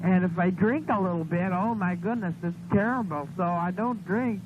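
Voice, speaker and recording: A woman's voice: lowish voice, normal speed. Older woman, folksy rambling radio caller, rural phrasing, narrow telephone audio with hiss and hum. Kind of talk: casual personal phone chat. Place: on a telephone line. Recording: noisy, tinny phone call on 1970s radio.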